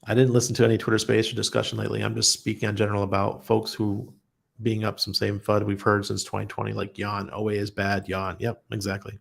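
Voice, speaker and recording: Livestream host, male, low gravelly voice with some huskiness, blunt and chatty, laughs easily. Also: completely flat delivery, fast talker. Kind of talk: casual livestream chat, reading viewer comments.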